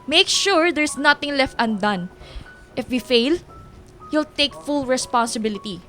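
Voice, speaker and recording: A woman's voice: sits high, expressive, measured pace, fairly bright tone, faint music behind. An actress playing an imperious, threatening female marketing boss in a radio drama, speaking formally and sternly.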